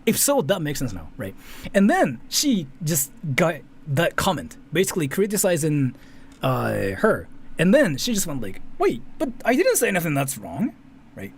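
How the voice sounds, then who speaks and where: A man's voice: on the high side, wildly swooping pitch, normal speed, slightly rough. A man from Japan, a native Japanese speaker, giving casual, rambling off-the-cuff commentary as a YouTuber, often repeating himself and checking in with short tags.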